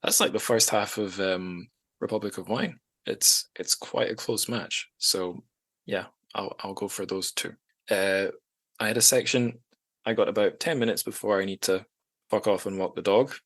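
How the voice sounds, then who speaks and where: A man's voice: fairly low pitch, steady tone, normal speed, fairly bright tone. A man hosting a podcast in casual, informal chat, happy to swear. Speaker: podcast host.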